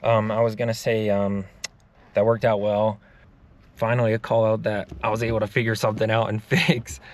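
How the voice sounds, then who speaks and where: A man's voice: fairly low pitch, flat delivery, normal speed, a little hiss or hum. A young man, a mobile mechanic, talking casually to the camera, venting about his work and explaining repairs step by step.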